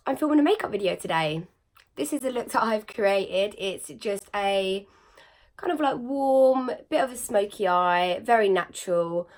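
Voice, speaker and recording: A young woman with a croaky, slightly nasal voice after being ill, chatting casually through a makeup tutorial with lots of fillers. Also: medium pitch. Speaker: beauty vlogger.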